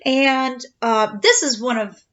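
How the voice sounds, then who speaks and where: A woman's voice: a little high, slow, a bit bright. A woman, a mother, chatting casually and playfully as she reads a children's storybook aloud. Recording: dull home recording.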